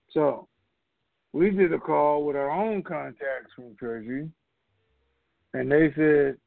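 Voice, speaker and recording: A man's voice: fairly high pitch, vocal fry, dry recording. Man on a muffled phone line. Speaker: conference call host. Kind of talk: casual, rambling talk to a group call. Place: telephone conference call. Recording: muffled, narrow-band phone conference line.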